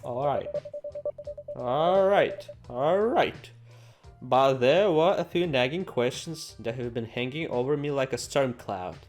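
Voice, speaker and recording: A man's voice: medium pitch, animated, takes it slowly, darkish tone. A man doing game playthrough commentary, reading the story text aloud with theatrical, sarcastic asides. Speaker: gaming video host. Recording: music behind the voice.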